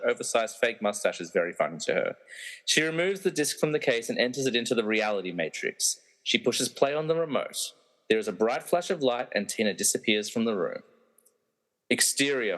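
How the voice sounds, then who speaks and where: A man's voice: medium pitch, a bit bright, rough recording. A man narrating a radio play, reading out scripted scene directions.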